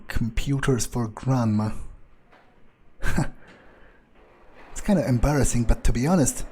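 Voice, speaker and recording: A man giving in-character gameplay commentary, thinking aloud and muttering to himself in a halting, musing way. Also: mid-range voice, dark tone, slightly rough. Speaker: let's player role-playing his game character.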